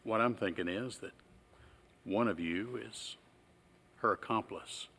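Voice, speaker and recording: An actor as a very low-voiced small-town sheriff in a naturalistic film drama. He is unhurried in temper, folksy and deadpan, feeling his way shrewdly through his words. Some noise sits behind the voice. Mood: dry, methodical. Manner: deadpan, halting, folksy and polite.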